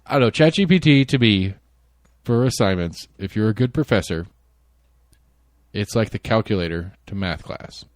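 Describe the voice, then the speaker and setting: Casual male co-host, slightly low voice, wisecracking and relaxed, recorded dry. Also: normal speed, no room sound. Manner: laid-back, joking, swears freely. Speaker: podcast co-host. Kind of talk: casual chat.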